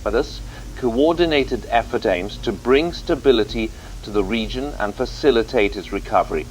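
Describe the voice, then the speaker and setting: A man's voice: mid-range voice, moderate pace, faint music behind, poor audio. A man presenting a scripted TV news report as anchor, in a grave, formal manner.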